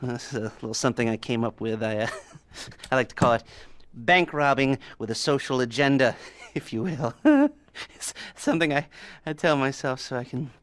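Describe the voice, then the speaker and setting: A man's voice: mid-range voice, lively intonation, normal speed, dry recording, close to an everyday voice. An actor playing a sardonic male heist mastermind who briefs his crew with wheezy chuckles and sarcastic asides. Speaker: heist planner.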